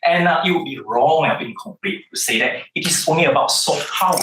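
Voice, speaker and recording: A man with a Malaysian accent giving an academic panel talk, halting often on fillers and then pressing key words with measured emphasis. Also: on the high side, noisy background. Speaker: panel speaker. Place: lecture hall or conference room. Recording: roomy hall, speaker distant from mic.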